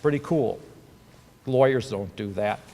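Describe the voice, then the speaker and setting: A man's voice: lowish voice, unhurried, mellow. Man giving a ceremony speech in a hall. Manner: earnest, advice-giving with rhetorical questions. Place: auditorium. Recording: reverberant hall.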